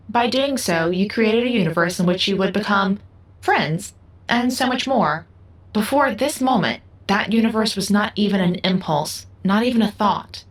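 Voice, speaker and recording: Woman playing an enigmatic, formal cosmic guide. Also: fairly high pitch. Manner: formal, precise, philosophical. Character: enigmatic, all-knowing cosmic niece speaking in riddles. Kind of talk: audio drama acting.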